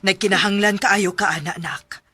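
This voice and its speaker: A woman's voice: mid-range voice, fairly even intonation, normal speed, pushed and bright, slightly rough, no echo. A woman playing a caring, soothing middle-aged mother in a Filipino radio drama. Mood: soothing, worried.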